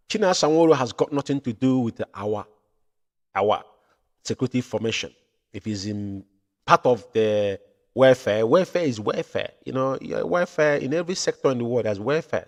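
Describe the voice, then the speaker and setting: A man hosting a political commentary show from home, building into loud, impassioned rants. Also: mid-range voice. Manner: rises to loud impassioned ranting. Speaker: show host.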